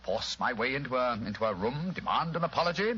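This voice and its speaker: A man playing a composed, courteous, amused gentleman detective in old-time radio drama style, urbane and theatrical, with formal phrasing. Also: medium pitch, lively intonation, fast, muffled recording, slight background noise. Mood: amused, unruffled.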